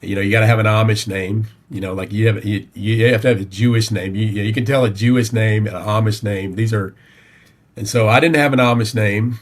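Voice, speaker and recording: Low-voiced Southern man telling his story. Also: steady tone, a little fast. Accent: Southern American, Tennessee. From Tennessee, United States. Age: middle-aged. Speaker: podcast guest. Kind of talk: conversational personal storytelling.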